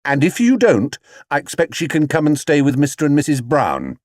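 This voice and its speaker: A man's voice: on the high side, big pitch swings, normal speed, a little mellow, no room sound, clean studio sound, pitched above the performer's own voice. A man voicing a small, polite but stubborn young male bear who speaks earnestly and indignantly in a storybook reading. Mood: earnest, firm.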